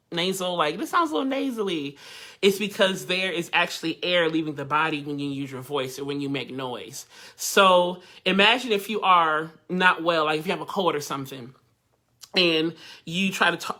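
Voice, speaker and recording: Young male voice teacher, high bright nasal twang, chatty and candid. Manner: chatty and candid, addresses the group directly. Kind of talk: live online vocal workshop. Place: living room at home.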